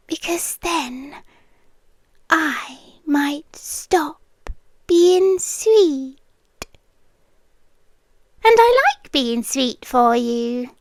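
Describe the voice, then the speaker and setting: Woman acting a slow, high-voiced British yandere girlfriend. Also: a little mellow, no echo, full character voice. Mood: veiled threat. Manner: slow, coaxing, controlling, often dropping into whispers. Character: possessive, sweetly menacing yandere landlady girlfriend. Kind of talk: ASMR roleplay, intimate and scripted.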